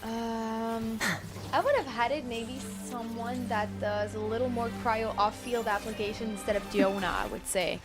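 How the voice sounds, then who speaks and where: A woman streams a video game, chatting casually and reacting with gushing exclamations. Her voice sits fairly high, and soft game music plays underneath. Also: fairly even intonation, normal speed. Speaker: livestream gamer.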